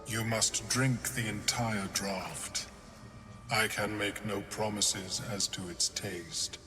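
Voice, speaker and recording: A man voicing a scholarly, courteous mind flayer wizard in a video game, calm and detached, speaking in a measured, formal, precise way. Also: on the low side. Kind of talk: video game character acting. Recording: long reverb, music behind.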